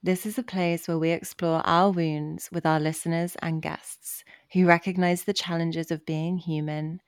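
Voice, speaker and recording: A woman's voice: fairly low pitch, level tone, normal speed, smooth, no room sound, clean studio sound. A woman co-hosting a podcast, enthusiastic and asking her co-host follow-up questions in casual chat.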